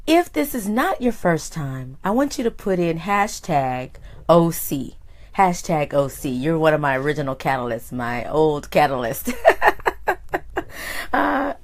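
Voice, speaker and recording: A woman with a hoarse voice hosting a live motivational talk, greeting viewers by name and exclaiming with excitement. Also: deep voice. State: hoarse, deeper voice than usual. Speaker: host and life coach.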